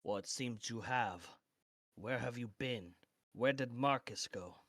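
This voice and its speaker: A man's voice: medium pitch, a little slow, a bit bright, no room sound, studio quality. A man voicing a proud, stern knight of honor, speaking formally and declaiming in a fantasy tabletop game. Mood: solemn. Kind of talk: tabletop roleplay.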